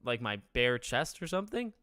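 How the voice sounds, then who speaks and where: A man's voice: medium pitch, very animated, normal speed, pushed and bright, clean tone, no room sound, studio quality. A young man with a nasal voice, hosting a casual gaming live stream from his living room, rambling and asking his chat questions. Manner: conversational, rambling with restarts, asks chat questions. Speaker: gaming YouTuber streaming host. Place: living room with couch behind.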